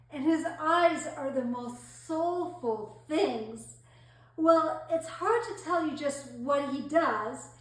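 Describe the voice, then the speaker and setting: A woman performing a theatrical monologue as a gullible, self-pitying society lady, affected, gushing and confiding. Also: sits high. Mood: confiding, dreamy. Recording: very echoey room, distant mic.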